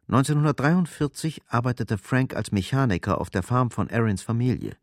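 A man's voice: medium pitch, expressive, a little fast, dry recording, clean studio sound. A man narrating a scripted radio drama as its storyteller.

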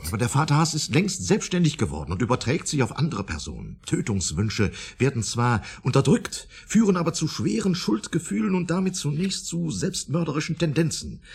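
An actor playing a smug, probing psychology professor in a German radio drama, lecturing insistently in a husky, gravelly voice. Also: male voice, fairly high pitch, sing-song, fairly quick, hardly any pauses, heavily acted. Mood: excited, lecturing. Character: smug, probing male professor of psychology, theorizing about everyone.

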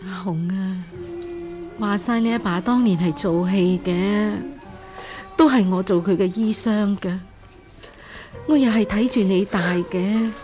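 A woman with a Hong Kong Cantonese accent acting a gentle, resigned middle-aged foster mother in a radio drama, sighing and soothing as she speaks. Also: mid-range voice, measured pace, long pauses, soft background music, close to an everyday voice.

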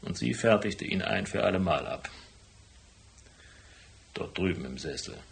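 A cool private detective from a radio crime drama. His voice sits fairly low with heavy creak. He is laconic and shrewd, laying things out dryly and deliberately. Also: animated, exaggerated voice. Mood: calm, explaining. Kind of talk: German radio crime drama acting with first-person narration.

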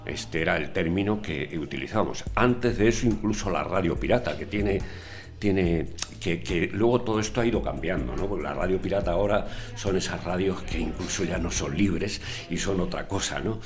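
An older man, a community radio veteran, answering interview questions in an unhurried way with many hesitations. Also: sits low, soft background music.

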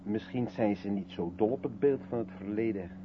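Through a narrow, clearly hissy old radio recording comes an actor as a sceptical man with a mid-pitched voice. He questions in a measured, dryly ironic way, probing as radio drama dialogue does. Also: lightly acted. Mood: sceptical, pointed. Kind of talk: Dutch 1970s radio drama acting. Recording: muffled, noisy 1970s radio recording.

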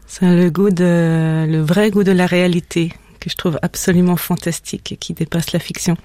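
A woman in her thirties from Switzerland and Denmark, a documentary filmmaker giving a radio interview in a calm, firm, plain-spoken way. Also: fairly low pitch, normal speed, no echo. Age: 30s.

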